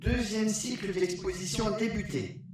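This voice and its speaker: An actor playing a male machine announcer who speaks slowly and mechanically, heard from far off in a very echoey space. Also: high-pitched. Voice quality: slow, measured, mechanical. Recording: distant echoey voice with noise.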